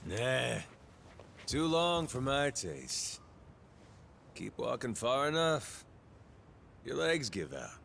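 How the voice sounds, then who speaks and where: Gravelly, lively aging yakuza pirate captain. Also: male voice, mid-range voice, slow, long pauses, slight background noise, heavily acted. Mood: reflective. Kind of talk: video game cutscene acting.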